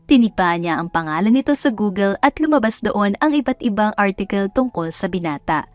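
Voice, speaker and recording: A woman's voice: mid-range voice, dry recording. A woman with a Filipino accent reading scripted romance story narration. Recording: dry voice over background music. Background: music.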